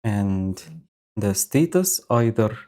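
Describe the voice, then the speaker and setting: A man with a low, mellow voice talks through his code for a live stream. He speaks slowly and pauses often, filling the gaps with ums and uhs as he thinks aloud. He is recorded on a home mic with a noise gate. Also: sing-song. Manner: slow, thinking aloud with many fillers. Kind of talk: casual live-coding commentary. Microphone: new home audio setup with noise gate.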